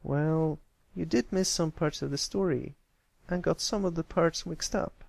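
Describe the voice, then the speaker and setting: A man's voice: fairly high pitch, measured pace, gravelly, a little mellow, no echo, pitched above the performer's own voice. Man playing a shy, stammering young colt. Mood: hesitant. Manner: stammering, mumbling, meek. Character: bashful, meek young colt, modest and hesitant.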